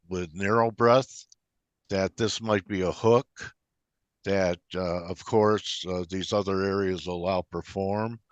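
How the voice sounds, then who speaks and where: Male market-show interviewer, deep voice, dry sound, conversational questioning style. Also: no echo, muffled recording. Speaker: interviewer, host.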